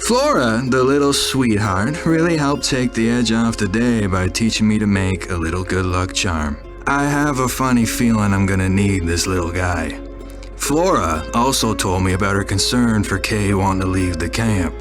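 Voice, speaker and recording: A man playing a folksy, dutiful camp staffer, recounting events in a measured diary-like monologue for a horror podcast. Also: lowish voice, expressive, music in the background, natural voice. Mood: reflective, wary.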